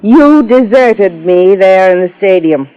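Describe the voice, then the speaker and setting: An actress playing a wealthy, coy socialite of about fifty in an old-time radio detective drama, languid and evasive. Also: female voice, mid-range voice, animated, clear, resonant tone, highs cut off. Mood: cool, reproachful. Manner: languid, coy, drawn-out delivery.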